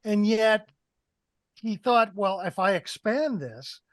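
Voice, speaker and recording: A man's voice: high-pitched, lively intonation, slow, no echo. A man in his sixties on a headset mic, casually analysing a film as a panelist in an online discussion. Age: 60s. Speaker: film review podcast panelist. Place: indoors, on a video call.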